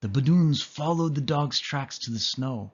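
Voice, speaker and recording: A man reading a children's story aloud as an expressive narrator. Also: mid-range voice, measured pace, slightly rough, muffled recording. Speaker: storybook reader.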